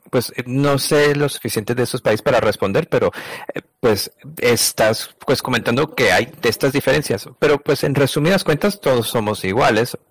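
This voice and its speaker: A man interpreting a conversation, speaking in a halting, stop-and-start way and often correcting himself mid-phrase. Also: mid-range voice, no room sound. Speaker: interpreter voicing a translated conversation.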